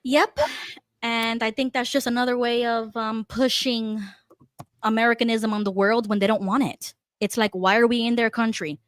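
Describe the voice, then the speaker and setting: A woman's voice: on the high side, moderate pace, no echo, studio quality. A woman in a casual political discussion, talking animatedly with restarts and laughs. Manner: animated, laughing, repeats herself. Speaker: panelist.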